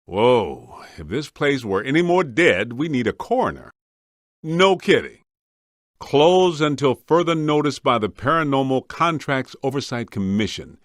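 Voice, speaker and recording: An actor playing a streetwise, wisecracking, grumbling ghost hunter in a scripted video game. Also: male voice, medium pitch, very animated, measured pace, dry recording, clean studio sound. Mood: wry, grumbling. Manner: wry, streetwise, complaining.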